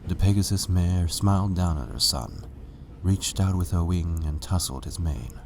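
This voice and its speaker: A man's voice: low voice, lively intonation, moderate pace, vocal fry, slight background noise. A man narrating a story as a scripted reading. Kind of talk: scripted fan fiction narration.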